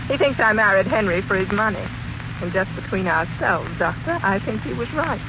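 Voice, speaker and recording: A woman's voice: mid-range voice, animated, moderate pace, natural voice. An actress playing a cold, scheming rich man's wife, arch and disdainful, in 1940s radio style. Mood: cool scheming. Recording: muffled, noisy 1940s radio.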